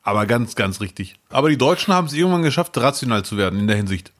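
A man co-hosting a podcast, joking and reacting quickly with exclamations in casual chat. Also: mid-range voice, sing-song, a bit bright. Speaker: podcast co-host.

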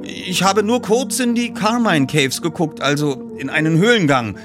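A man voicing an evasive, hurt teenage boy detective in an audiobook, halting and defensive in his speech. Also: a little high, animated, over music, voice pushed up, close to an everyday voice. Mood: nervous, evasive. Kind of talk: audiobook dialogue.

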